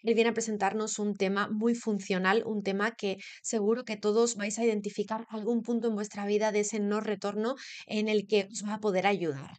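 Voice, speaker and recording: A woman's voice: mid-range voice, flat delivery, normal speed, hardly any pauses, darkish tone. A woman hosting an interview, formally introducing and welcoming her guest. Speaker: interview host. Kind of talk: scripted introduction.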